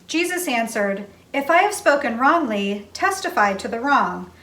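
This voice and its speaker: A woman's voice: a little high, big pitch swings, moderate pace, fairly bright tone. A woman reading a Bible passage aloud as a lay reader. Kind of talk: scripture reading. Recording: echoey, distant from microphone.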